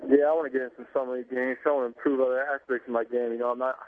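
A young man calling in to a radio interview by phone, relaxed and laid-back with many fillers. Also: medium pitch, very fast, dry recording. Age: young adult. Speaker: basketball player calling in. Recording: tinny phone line.

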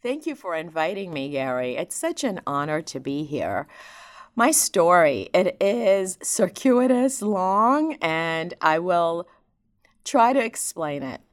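A woman from Lebanon whose first language is Armenian, speaking as an interview guest in a measured, articulate and reflective way, with no accent. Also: lowish voice, very animated. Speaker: guest ophthalmologist. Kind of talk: interview storytelling.

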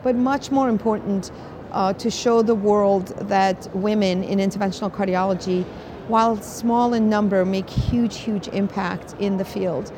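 A woman's voice: mid-range voice, steady tone, unhurried. A woman doctor hosting an interview at a medical conference, speaking in an effusive, emphatic way. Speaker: host, interventional cardiologist. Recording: clearly noisy conference background.

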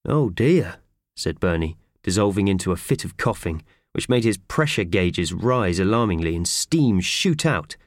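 A man narrating a children's audiobook in an expressive, scripted storytelling style. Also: deep voice, normal speed, hardly any pauses, no room sound, clean studio sound. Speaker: audiobook reader.

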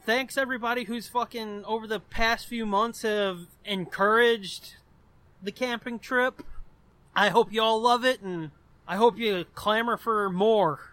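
Guy cohosting a podcast, really high bright voice, sweary and casual. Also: fairly even intonation, a little slow, no room sound. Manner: informal, swears often. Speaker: podcast co-host.

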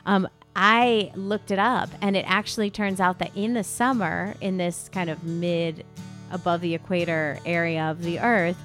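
A woman's voice: lowish voice, steady tone, moderate pace, fairly bright tone, faint music behind. A woman co-hosting a podcast in casual, chatty banter, with an informal, exclamatory way of talking. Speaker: podcast co-host.

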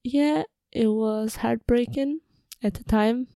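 A woman's voice: a little high, slow pace, mellow, clear, resonant tone, dry recording, studio quality. A young woman from the Republic, hosting a casual personal podcast in a slightly nervous, hesitant, informal manner. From Czech Republic, moved to Ireland at twelve. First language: Czech. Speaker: podcast host.

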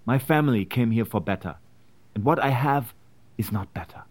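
An actor playing an anxious, reluctant immigrant tradesman with a foreign accent in a historical drama, speaking in halting, careful phrases. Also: medium pitch, lively intonation, close to an everyday voice. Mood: earnest, troubled. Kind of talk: period historical drama acting.

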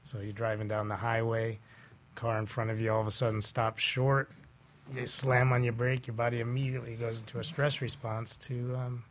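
A man explaining things conversationally as a guest on a talk show, with a gravelly voice. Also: mid-range voice, flat delivery, normal speed, fairly bright tone.

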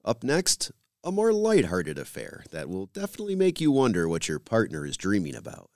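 A man's voice: fairly low pitch, huge pitch swings, brisk, a little mellow, no room sound, studio quality. A man hosting a podcast, delivering a scripted introduction. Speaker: podcast host.

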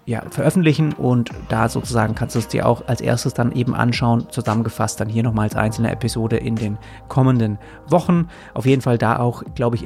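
A man's voice: medium pitch, gravelly, a little mellow. A man hosting a podcast, talking casually in long, rambling sentences. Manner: rambling, loose phrasing. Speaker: podcast host.

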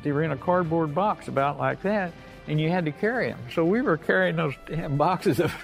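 A man's voice: a little high, brisk, creaky, low-quality recording. An older American man, a war veteran, recounting his memories in a folksy, plain-spoken way. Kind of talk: unscripted oral-history reminiscence. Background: music bed.

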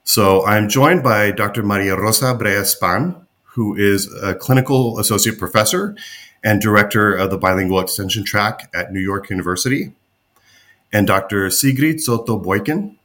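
A man from the United States hosting a podcast, introducing guests in a measured, formal way. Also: lowish voice, a bit bright. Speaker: podcast host.

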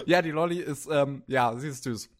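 A man co-hosting a casual anime podcast, chatty and quick to laugh. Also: fairly high pitch. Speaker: podcast co-host. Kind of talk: casual chat about anime.

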